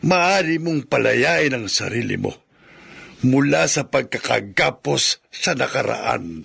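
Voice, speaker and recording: Gravelly, slow middle-aged elder brother. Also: male voice, mid-range voice, animated, a bit bright, heavily acted. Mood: solemn, earnest. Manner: slow, solemn pleading. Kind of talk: Filipino radio drama acting.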